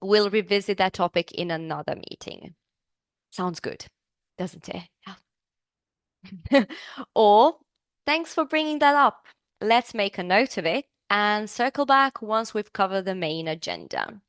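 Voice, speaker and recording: A woman's voice: mid-range voice, very animated, unhurried, long pauses, clean tone, no room sound, clean studio sound. A woman from Serbia, teaching business English in a live online class, upbeat and chatty, asking the class questions.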